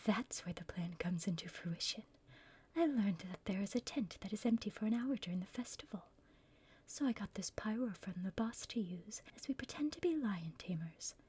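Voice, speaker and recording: A woman's voice: mid-range voice, lively intonation, moderate pace, darkish tone. A woman playing a bossy, scheming female villain in a whispered ASMR roleplay, impatient and conspiratorial with her partner. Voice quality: breathy whisper.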